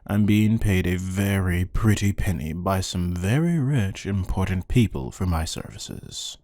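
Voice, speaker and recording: A man playing a bored, menacing hired interrogator in an ASMR roleplay, speaking in a deadpan, condescending, taunting way with a husky voice. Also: deep voice, no room sound, studio quality, full character voice. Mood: mocking.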